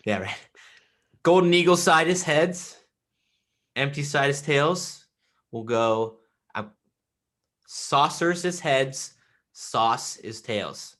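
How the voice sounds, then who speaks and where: A man hosting a podcast in easygoing chat, his voice bright and forceful. He is playful and often repeats a word or two before getting a sentence out. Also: mid-range voice, lively intonation, takes it slowly, long pauses. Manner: playful, repeats words when starting sentences. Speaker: podcast host. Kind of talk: casual chat.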